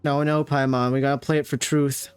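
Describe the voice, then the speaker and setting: A woman's voice: very deep voice, flat delivery, fairly quick, darkish tone, smooth. A woman streaming a game, making casual, slangy, amused asides as she reacts. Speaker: gaming streamer. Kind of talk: casual gameplay reaction commentary. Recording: game music behind voice.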